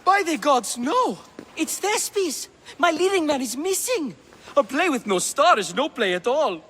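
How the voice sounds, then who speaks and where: An actor playing a self-important, fretful Greek playwright in a video game, with a theatrical, fussy delivery. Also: male voice, extremely high pitch, big pitch swings, a little slow, a bit bright, big-room sound, exaggerated voice. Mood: fretting, alarmed.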